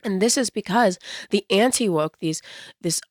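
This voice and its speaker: A hoarse woman with a strained voice, hosting a casual, wry video commentary with joking asides. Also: mid-range voice, moderate pace, dry recording, studio quality. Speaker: video essay host.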